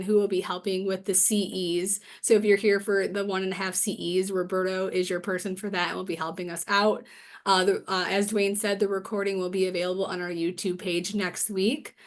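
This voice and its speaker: A young woman with a raspy voice from a cold, hosting an online forum with chatty, upbeat talk and many fillers. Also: mid-range voice. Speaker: host. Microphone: video call.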